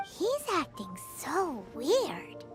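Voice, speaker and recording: A little floating fairy sidekick from an animated video game. The voice is extremely high, squeaky and childlike, with lively swoops. The delivery is unhurried, blunt and cheeky, over clear background music. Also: female voice, exaggerated voice. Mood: puzzled. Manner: blunt, speaks of self in third person.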